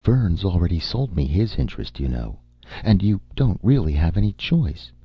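A cool, unflappable young woman, as a male narrator puts her on, coaxing and pushy in a husky, gravelly voice. Her pitch rides lively and high above his own. The sound is dull and lo-fi. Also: moderate pace, dry recording, exaggerated voice. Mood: cool, persuasive. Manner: calm, coaxing, sly. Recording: dull lo-fi recording.

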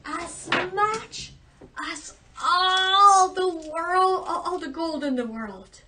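A woman's voice: very high voice, extremely slow, background noise, voice pushed up, full character voice. A woman voicing a vain eldest princess who gushes about loving parties and gold, recorded from a distance. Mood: gushing. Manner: giddy, gushing. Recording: distant mic.

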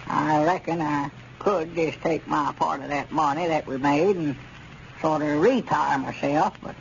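An actor playing a folksy, sheepish small-town storekeeper in a hesitant rural Southern drawl, in old radio comedy style. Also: male voice, fairly high pitch, a little slow, creaky. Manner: hesitant, stammering, chuckling country talk. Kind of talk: 1940s radio comedy acting. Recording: dull, noisy old radio recording.

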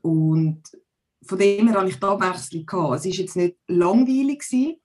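A woman's voice: mid-range voice, moderate pace, a little mellow, faint music behind. A woman in her forties with a Zurich Swiss German accent, a guest chatting casually on a video call with lots of hesitant fillers. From Zurich, Switzerland. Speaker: podcast guest. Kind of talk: casual conversation. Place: home room.

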